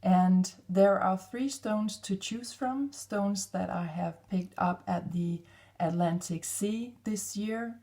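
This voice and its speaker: A woman from Denmark with a Danish accent, giving an unscripted spiritual card reading to viewers in a measured, halting way. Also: medium pitch, mellow. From northwestern Denmark. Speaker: tarot and oracle card reader.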